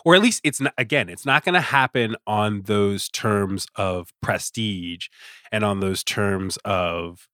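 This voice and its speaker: A man's voice: fairly low pitch, unhurried, a bit bright, no room sound, clean studio sound. A man chatting casually as an opinionated podcast guest, with informal, emphatic phrasing. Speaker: podcast guest, staff writer.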